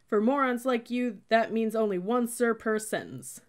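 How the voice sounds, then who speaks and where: A woman's voice: on the high side, moderate pace, pitched above the performer's own voice, close to an everyday voice. A woman voicing a fierce young male military cadet who barks clipped drill orders in an audiobook. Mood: fierce, contemptuous. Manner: bellowing, clipped drill commands. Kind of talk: audiobook character voice.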